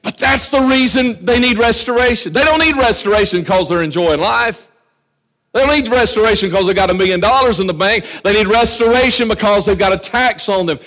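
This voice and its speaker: High-voiced fervent preacher man. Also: fairly quick, poor audio. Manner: fervent preaching, often dropping to quiet asides. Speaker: pastor. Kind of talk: sermon. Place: church.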